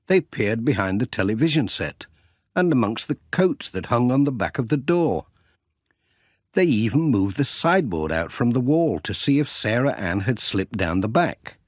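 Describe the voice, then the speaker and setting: Animated male children's story narrator. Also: medium pitch, dry recording, studio quality. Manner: measured, expressive storytelling. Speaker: audiobook narrator.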